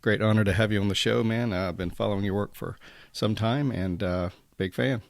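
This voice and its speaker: A man's voice: lowish voice, fairly quick, creaky, no echo. A man hosting a podcast, reading a scripted intro and then chatting with his guest in a friendly way with fillers. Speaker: podcast host.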